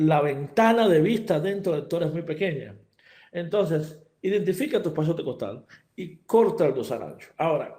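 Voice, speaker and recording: A middle-aged man from Cuba giving a surgery lecture to colleagues, his voice sitting a little high for a man. He explains each step in a practical, instructive way. He often restarts a sentence and slips in English terms. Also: dark tone.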